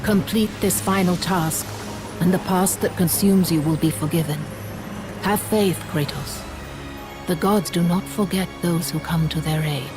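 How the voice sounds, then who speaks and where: A commanding goddess speaks in a measured, solemn way, as a woman acts her out. Her voice sits somewhat low, and game music plays clearly behind her. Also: lightly acted. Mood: reassuring. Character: calm, commanding female goddess.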